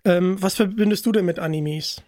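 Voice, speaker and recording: A man's voice: high voice, moderate pace, a little mellow, no echo, studio quality. A man hosting a casual podcast interview, putting questions to a guest.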